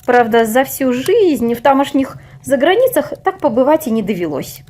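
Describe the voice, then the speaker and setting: A woman's voice: high-pitched, sing-song, normal speed, a little mellow. A woman voicing an old-fashioned, scolding grandmother in a storybook, with a folksy, reproachful and emphatic manner. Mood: nostalgic.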